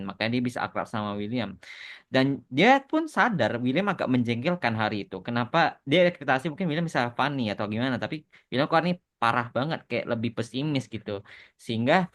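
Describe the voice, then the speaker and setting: A man's voice: medium pitch, a bit bright. An Indonesian man in a casual podcast Q&A, explaining things with repeated words for emphasis and slipping English phrases into his talk. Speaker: podcast host and animation author answering questions.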